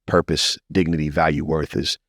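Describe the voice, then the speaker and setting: Male podcast host, American, very low voice, close dry sound. Heartfelt storyteller with a preacherly, encouraging streak. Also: normal speed, slightly rough, dry recording. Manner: animated storyteller, slips into encouraging preacher-like address. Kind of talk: casual, heartfelt testimony and conversation.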